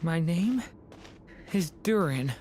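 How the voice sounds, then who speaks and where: A woman playing a weak, confused young boy in a video game, speaking in a halting, plaintive way. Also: lowish voice, lively intonation, a little hiss or hum. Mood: confused, searching. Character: weak, confused, frightened young boy made by magic, Durin. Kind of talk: dramatic video game voice acting. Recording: loud music behind the voice. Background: music.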